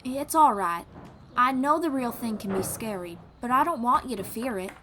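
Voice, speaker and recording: A woman's voice: fairly high pitch, lively intonation, moderate pace, a little hiss or hum. A woman playing a friendly young traveling bard in an audio roleplay, encouraging and reassuring, with a folksy drawl and casual phrasing. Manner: encouraging, reassuring, gently guiding. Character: friendly young female traveling bard who teaches riding. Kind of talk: second-person audio roleplay acting.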